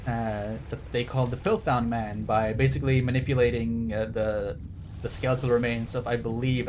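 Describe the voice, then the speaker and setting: A man's voice: mid-range voice, darkish tone, slight background noise. A man streaming a game with casual, rambling commentary in an irreverent, joking and sweary manner.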